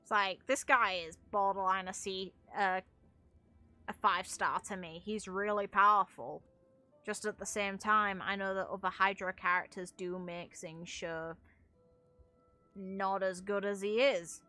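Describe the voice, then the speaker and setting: A woman's voice: medium pitch, steady tone, measured pace, bright, forceful tone, faint music behind. A woman giving casual, opinionated video game commentary as a streamer, with some casual swearing.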